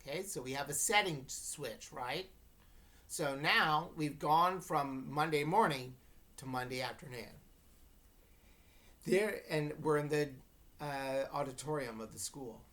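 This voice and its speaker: A woman's voice: low voice, unhurried, a bit bright. A woman teacher reading a novel aloud to a class, explaining as she goes and checking understanding with questions. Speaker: English teacher. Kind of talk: dramatic classroom read-aloud with questions to students.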